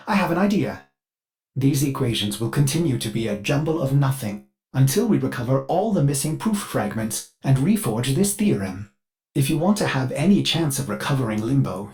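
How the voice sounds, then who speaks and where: A man's voice: mid-range voice, a little mellow, lightly acted. A man voicing a fussy, eager ship artificial intelligence in a video game, polite and theatrical, with a manic edge under the courtesy. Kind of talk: scripted video game character dialogue.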